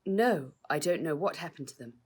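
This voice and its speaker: A woman reading a storybook, voicing a composed girl who takes charge briskly and practically. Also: deep voice, lively intonation, darkish tone, slightly rough. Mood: urgent.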